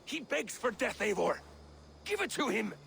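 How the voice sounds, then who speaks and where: A man's voice: very high voice, big pitch swings, moderate pace, vocal fry, a bit bright, a little hiss or hum, exaggerated voice. An actor playing a proud, merciless, hot-headed warrior in a video game, scornful and blustering in his declamatory delivery. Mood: angry, urging. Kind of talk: dramatic video game acting.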